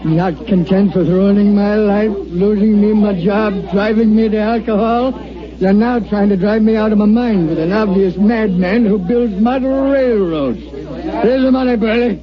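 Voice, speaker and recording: An actor playing a gruff, sardonic veteran newspaper editor who wisecracks and gives orders, in 1950s radio drama style. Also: male voice, sits high, a little slow, a crowd in the background. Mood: exasperated. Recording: dull old radio recording.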